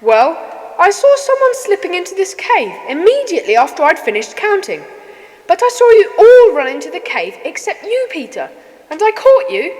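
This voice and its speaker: A woman's voice: extremely high pitch, huge pitch swings, normal speed, darkish tone, long reverb tail, heavily acted, rough recording. A woman playing an earnest, puzzled schoolboy in a children's adventure audio drama. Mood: puzzled, insistent.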